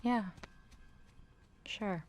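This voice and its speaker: An American woman playing a young actress friend in a video game cutscene, warm and teasing yet easily wounded. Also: extremely slow, faint music behind. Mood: hurt, resigned. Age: young adult.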